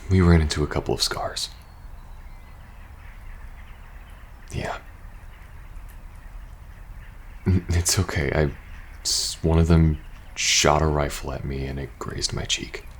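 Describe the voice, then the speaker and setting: A man playing a weary, worried young soldier in an intimate ASMR roleplay, speaking softly and hesitantly. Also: very deep voice, steady tone, slight background noise. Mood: awkward, reassuring. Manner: hesitant, halting, sighing between phrases. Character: weary, worried young male soldier in post-apocalyptic faction.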